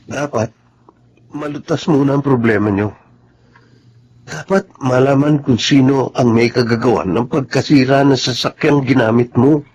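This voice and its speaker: An actor plays a respectful family driver in a Filipino radio drama. He is a man with a medium-pitched voice, gentle and deferential in his delivery. There is slight background noise. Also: lo-fi sound, close to an everyday voice. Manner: deferential, soft-spoken.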